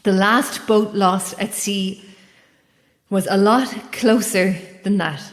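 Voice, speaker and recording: An actress playing an anguished, defiant, guilt-ridden lighthouse keeper in a horror audio drama. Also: female voice, mid-range voice, steady tone, slow pace, reverberant, long reverb tail. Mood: weary confession.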